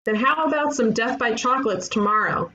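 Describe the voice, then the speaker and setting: A woman playing a breezy, blunt detective in an animated comedy, casual and matter-of-fact. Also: on the high side, fairly quick, lo-fi sound. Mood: businesslike. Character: breezy, blunt female detective running a ghost agency. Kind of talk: animated comedy table read.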